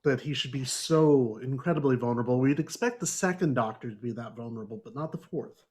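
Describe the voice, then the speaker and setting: A man's voice: medium pitch, a little fast, a little mellow. A man talking casually about a book as a panelist in a podcast discussion.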